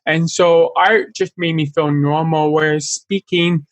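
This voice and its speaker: A young man with the accent of a deaf speaker, an artist talking earnestly and openly as an interview guest, sometimes halting over a word. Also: fairly high pitch, steady tone, dry recording. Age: young adult. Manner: earnest, open, at times halting speech. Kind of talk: casual interview conversation.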